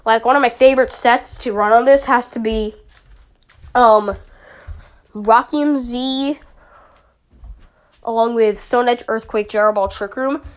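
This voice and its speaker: Young woman with a stuffy cold, somewhat high pitch, loose chatty gamer commentary. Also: fairly even intonation, measured pace, long pauses. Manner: chatty, rambling, with many fillers. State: sniffly with a summer cold. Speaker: YouTuber analyzing a draft league team. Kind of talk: casual commentary.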